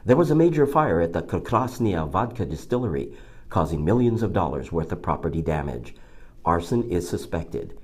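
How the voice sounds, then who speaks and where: A man's voice: low-pitched, sing-song, normal speed, darkish tone, slightly rough, exaggerated voice. A man playing a grave announcer reading a breaking news bulletin in an audio drama. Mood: grave, official.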